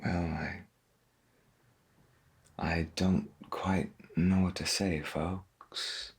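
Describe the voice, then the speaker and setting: Late-night 1990s radio host, played by a man: deep gravelly murmur, subdued, gentle and musing, ASMR roleplay. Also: flat delivery, drawn-out words, exaggerated voice. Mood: awed, wondering. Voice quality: husky, gravelly. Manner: hesitant, folksy, murmured, musing.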